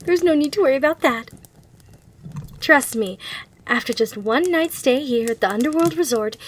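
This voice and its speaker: A woman's voice: on the high side, slight background noise, natural voice. A woman playing a gracious, eager demon hotel receptionist in an ASMR roleplay, with polite, reassuring customer-service patter. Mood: reassuring, proud.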